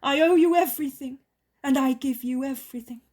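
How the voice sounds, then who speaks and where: A woman voicing an overjoyed healed noblewoman who cries out her devotion in a high, loud voice. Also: a little fast, natural voice. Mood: ecstatic.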